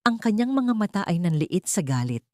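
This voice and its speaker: A woman's voice: mid-range voice, big pitch swings, fairly quick, a little mellow, no echo, studio quality. A woman narrating a scripted audio story in a dramatic style.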